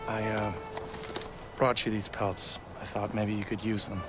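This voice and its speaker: A man's voice: fairly low pitch, level tone, measured pace, natural voice. A man playing a polite, hesitant young newcomer in a video game, speaking in an understated, tentative way. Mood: polite, unsure. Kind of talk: video game cutscene acting. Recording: loud music behind.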